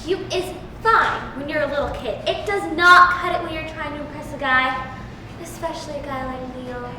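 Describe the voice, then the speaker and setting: Woman as bossy theatrical girl, high voice, emphatic, dramatic, stage comedy, far from mic. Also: moderate pace, bright, forceful tone. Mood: melodramatic, anxious. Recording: echoey distant hall, clear noise.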